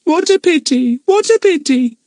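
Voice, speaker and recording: A man voicing a frightened pet parrot that repeats its phrases in a high squawk. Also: animated, moderate pace, darkish tone, no room sound, clean studio sound. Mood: mournful.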